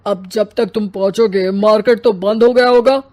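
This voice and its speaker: A woman's voice: medium pitch, fairly even intonation, normal speed, warm tone, no echo, faint music behind, lightly acted. A woman voicing an overbearing male office boss in a cartoon story, commanding and dismissive. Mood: bossy, dismissive.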